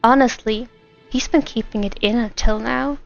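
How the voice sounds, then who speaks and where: A woman game streamer with a high voice reacts casually and excitedly to the story. The audio is a bit dull, with soft game music behind her. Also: normal speed, creaky. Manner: excitable, egging the characters on. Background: game music and dialogue.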